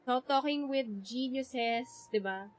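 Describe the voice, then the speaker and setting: A woman with a Filipino accent giving casual live game commentary, halting as she thinks aloud. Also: high-pitched. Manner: thinking aloud, halting, switching between English and Tagalog. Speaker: game streamer. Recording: game music underneath.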